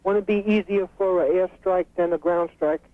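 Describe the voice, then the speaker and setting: Male radio caller, high voice, casual questioning, narrow dry telephone line. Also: steady tone, normal speed, dry recording.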